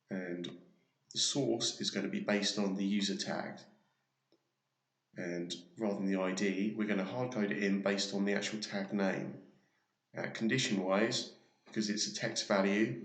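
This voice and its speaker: Low-voiced man in an echoey room. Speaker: software tutorial presenter. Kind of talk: casual step-by-step screen demo narration. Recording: roomy, echoey sound.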